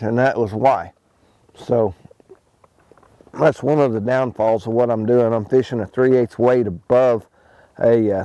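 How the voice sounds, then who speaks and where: Middle-aged male angler, outdoors on a lake boat, relaxed instructional talk with frequent fillers. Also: mid-range voice, no room sound. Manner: relaxed, explains as he fishes, many ums and restarts. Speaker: fishing pro. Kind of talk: casual how-to fishing talk.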